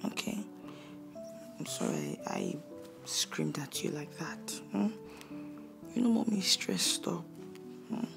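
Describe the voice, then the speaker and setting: A woman playing a stressed single mother who speaks to her child in soft, hushed whispers. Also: medium pitch, steady tone, measured pace, pauses often. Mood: soothing. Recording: clear music playing behind.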